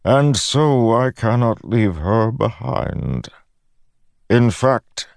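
Ancient fantasy god, male narrator in character, paternal menace, theatrical, unhurried, widely swinging pitch. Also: vocal fry, darkish tone, no room sound, clean studio sound, heavily acted. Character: ancient malevolent god, smiling, paternal and smooth-talking. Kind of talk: audiobook fantasy dialogue.

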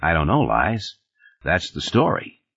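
A man's voice: sits low, wildly swooping pitch, unhurried, vocal fry, fairly bright tone, breathy edge, lo-fi sound, full character voice. A man voicing a tired father and husband in a novel, patient until irritated. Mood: gentle, patient.

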